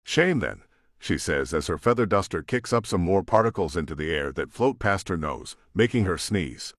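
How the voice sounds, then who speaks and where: A man's voice: deep voice, lively intonation, moderate pace, no echo, clean studio sound. A man reading a romance novel as scripted audiobook narration, with acted dialogue.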